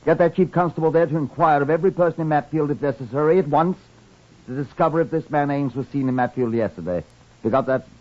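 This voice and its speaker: An actor playing a brisk, authoritative police superintendent asking clipped questions in an old radio drama, with a husky voice. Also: male voice, a little high, close to an everyday voice. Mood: commanding, urgent. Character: brisk, probing Scotland Yard chief superintendent. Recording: muffled old radio recording.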